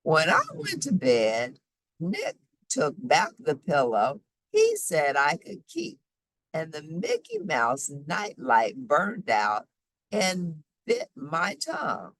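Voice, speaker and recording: A woman reading a picture book aloud as a storyteller, with expressive, dramatic phrasing that she draws out for effect. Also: sits low, takes it slowly, no room sound, rough recording.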